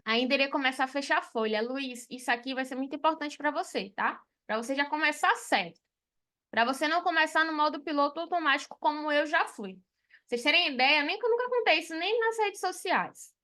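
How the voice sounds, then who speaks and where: Bright, high-voiced woman teaching. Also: brisk. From Salvador, Bahia, Brazil. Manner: frank and chatty, with frequent tag questions. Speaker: accountant teaching a payroll course. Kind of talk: live online class.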